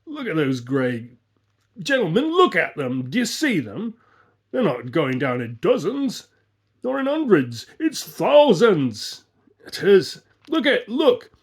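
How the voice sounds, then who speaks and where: A man's voice: sits high, wildly swooping pitch, slow, breathy edge, pitched above the performer's own voice, full character voice. A man voicing a British soldier who bellows in amazement during a battle. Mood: amazed.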